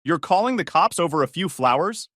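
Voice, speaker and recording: A man's voice: a little high, expressive, a little fast, a bit bright, no echo, studio quality, pitched above the performer's own voice. A man voicing a whiny, defensive young man caught trespassing.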